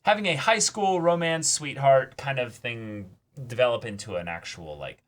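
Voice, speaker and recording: A man's voice: mid-range voice, expressive, moderate pace. A man co-hosting a reaction show, talking in a casual, emphatic, enthusiastic way like an eager fan. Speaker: co-host of an anime reaction channel. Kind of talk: casual chat, reaction discussion.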